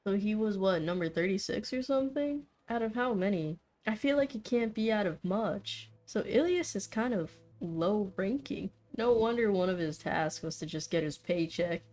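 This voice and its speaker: A woman's voice: mid-range voice, lively intonation, normal speed, few pauses, a little mellow, faint music behind. Casual, teasing woman gaming streamer. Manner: teasing, slangy. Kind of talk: casual commentary over gameplay.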